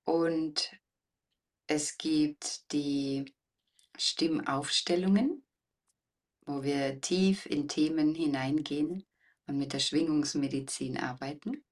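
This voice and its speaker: Low warm female voice, very unhurried, reflective explaining, interview guest, distant from the mic. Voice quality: deep, warm. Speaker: interview guest, voice coach and former singer.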